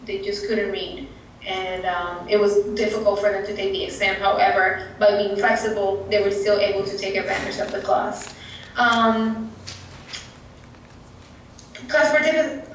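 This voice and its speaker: A woman with a Puerto Rican Spanish accent presents at a workshop, earnest and halting, with lots of fillers. Her voice sits a bit high. The sound is distant and very echoey. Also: a little hiss or hum. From Puerto Rico. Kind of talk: conference presentation. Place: conference room. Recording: echoey room, distant mic.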